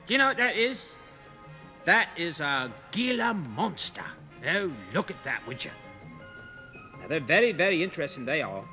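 Bwana Clyde Batty, a jolly high-voiced zoo host. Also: male voice, sing-song, moderate pace, pushed and bright, faint music behind. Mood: chatty. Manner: chummy, gushing, talks to the audience and animals. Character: jolly chatty male zoo host showman. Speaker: zoo show host character. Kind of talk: comedy sketch acting.

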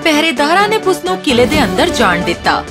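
A woman's voice: sits high, sing-song, a little fast. A woman narrating a scripted fairy tale for children. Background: loud music.

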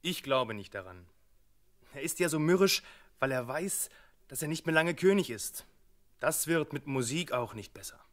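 A fretful, deferential servant of a king in a radio play. The voice sits fairly high and rings with some hall reverb. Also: lively intonation, moderate pace, a bit bright. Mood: worried. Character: worried, dutiful male royal servant.

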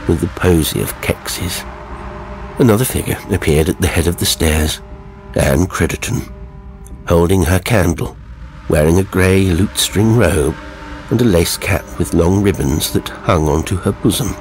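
A man's voice: deep voice, darkish tone. A man narrating a horror story in a dramatic, scripted audiobook style.

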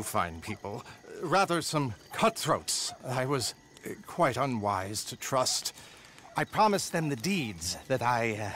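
A desperate, pleading runaway clerk. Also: male voice, fairly high pitch, fairly bright tone, slight background noise, full character voice. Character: desperate fleeing male clerk pleading for protection.

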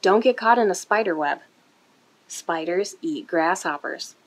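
A woman reading a children's picture book aloud in an expressive, deliberate way for young listeners, recorded indoors. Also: on the low side, poor audio. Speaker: children's story-time reader for a museum. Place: indoor room.

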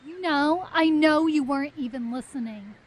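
A woman's voice: high-pitched, moderate pace, close to an everyday voice. A young woman acting a vain, whiny, dim beach-show diva in a student radio comedy. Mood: snotty, offended. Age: young adult. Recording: live radio studio, music behind.

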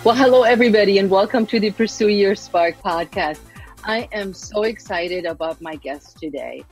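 A woman's voice: medium pitch, moderate pace, darkish tone, soft background music, rough recording. A middle-aged woman from Germany hosting an interview podcast, gushing with enthusiasm about her guest. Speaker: podcast host.

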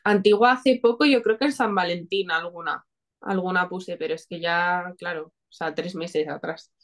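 Slightly low-voiced young woman from Spain. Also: moderate pace. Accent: Spanish (Spain). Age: 20s. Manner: informal, conversational. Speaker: interview guest, marketing specialist. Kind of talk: casual chat. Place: small home room, indoors. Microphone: video call.

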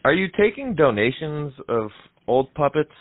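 A young man making a deadpan prank call in a casual, halting way, heard through muffled, narrow audio. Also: a little high, no room sound. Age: young adult. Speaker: prank caller, comedy show host. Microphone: headset microphone.

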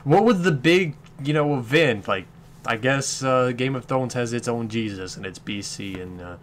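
A man's voice: medium pitch, expressive, normal speed, a little hiss or hum. A man hosting a let's play with casual, rambling gameplay commentary and some swearing.

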